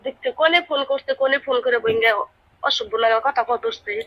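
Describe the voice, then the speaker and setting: A woman's voice: sits high, fairly quick, highs cut off. A woman with a rural Bangladeshi dialect in a heated phone conversation, indignantly challenging the caller with repeated questions. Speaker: prank call recipient.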